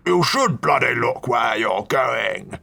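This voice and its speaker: A man voicing a cantankerous elderly male duke who blusters and scolds in slow, gruff bursts. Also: medium pitch, lively intonation, pushed and bright, exaggerated voice. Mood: indignant. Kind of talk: audiobook character voice.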